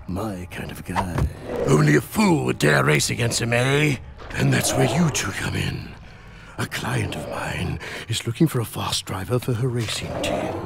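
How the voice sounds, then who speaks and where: An actor playing an obese, scheming male crime boss with a gravelly, sly voice that drawls and tags lines with a coaxing "eh?" in a video game cutscene, loud music behind. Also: mid-range voice, animated, unhurried, heavy background noise. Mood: oily.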